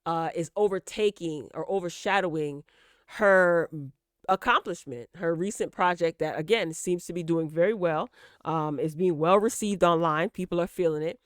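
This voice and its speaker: A woman's voice: on the low side, moderate pace, no echo, clean studio sound. A woman host giving casual, opinionated gossip commentary, speaking emphatically and straight to her listeners, repeating words for emphasis. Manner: emphatic, direct address to listeners with repetition for emphasis.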